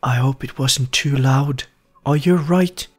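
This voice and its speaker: A man voicing a plucky, kind-hearted little animated skeleton in a fantasy audio play, with a bold, earnest and caring manner. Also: medium pitch, slow pace, soft background music, pitched above the performer's own voice. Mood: gentle concern. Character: plucky, kindly little animated skeleton hero, high-voiced.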